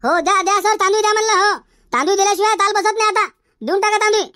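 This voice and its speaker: A man voicing a cheeky, boastful village schoolboy in a comedy cartoon, arguing in a Varhadi Marathi accent through a pitched-up effect. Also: racing, sharp tone. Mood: cheeky, eager. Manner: argumentative, indignant, backtalking.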